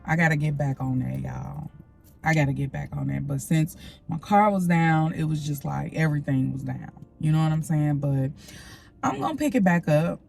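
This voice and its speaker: A woman's voice: sits low, moderate pace, vocal fry, darkish tone, soft background music. A young woman with a slightly nasal voice, rambling casually to her vlog viewers and calling them y'all. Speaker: vlogger. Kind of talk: casual vlog chat to camera.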